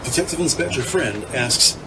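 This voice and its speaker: A man narrating a scripted audio drama in a measured storytelling manner. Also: medium pitch, lively intonation. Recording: clear background noise, ambience.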